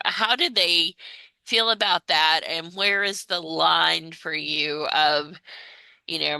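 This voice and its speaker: Slow, bright-voiced woman interviewer. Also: low voice, gravelly, no room sound, low-quality recording. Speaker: interviewer, host. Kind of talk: thoughtful conversational interview.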